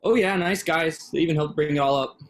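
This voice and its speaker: A man acting a gentle, dry-humoured young boyfriend in a staged play reading, understated and conversational. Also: on the high side, a little fast, close to an everyday voice. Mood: wry, casual.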